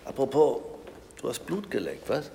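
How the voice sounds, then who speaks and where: A man's voice: medium pitch, huge pitch swings, moderate pace, warm tone, slight background noise. A man playing a chummy, cynical war-veteran policeman in a radio drama, jovial and needling in manner. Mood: teasing, needling. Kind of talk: naturalistic radio drama acting.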